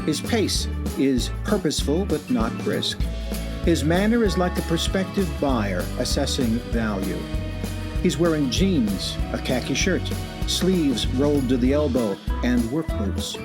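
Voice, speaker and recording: An actor narrating a scripted radio play in a wry, deliberate, theatrical way. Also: male voice, medium pitch, close to an everyday voice. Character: wry, measured male storyteller narrator. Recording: clear music bed behind narration.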